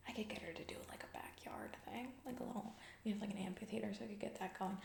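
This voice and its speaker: A woman's voice: mid-range voice, fairly even intonation, quick pace, creaky, a little mellow, roomy sound. A woman playing a distracted, callous corporate CEO in a comedy ASMR roleplay, rambling breezily in corporate-speak and trailing off. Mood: distracted, self-absorbed.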